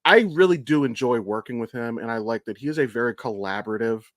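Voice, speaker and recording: A man with a medium-pitched voice talks as a podcast co-host. His delivery is casual and blunt, full of enthusiasm and swearing. The sound is close and dry. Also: quick pace, fairly bright tone, no room sound. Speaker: podcast co-host and amateur voice actor.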